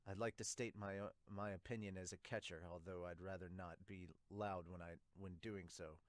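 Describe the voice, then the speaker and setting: Man as a quiet, serious young catcher. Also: deep voice, fairly even intonation, normal speed, dry recording, clean studio sound, voice pushed down, natural voice. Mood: hushed, polite. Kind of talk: amateur manga dub.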